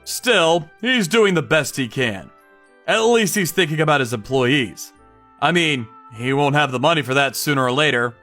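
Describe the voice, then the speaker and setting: A woman's voice: low-pitched, animated, moderate pace, fairly bright tone, faint music behind. A woman voicing an earnest young male CEO in a manga story dub, polite, hesitant and apologetic. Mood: uneasy.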